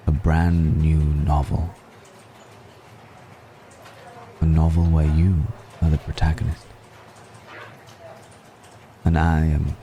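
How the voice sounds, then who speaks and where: A man playing a suave, ancient aristocratic vampire in an intimate ASMR roleplay, musing slowly and deliberately with long pauses. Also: warm tone, slight background noise, poor audio. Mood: intrigued, savoring. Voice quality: very deep, gravelly.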